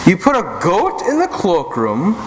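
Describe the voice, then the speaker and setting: A man's voice: high voice, huge pitch swings, measured pace, exaggerated voice. A man playing a theatrical, admonishing old Santa Claus in an old-time radio comedy sketch. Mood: stern. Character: grown-up Santa Claus, stern but jolly old man. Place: live theatre stage. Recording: echoey hall, background noise.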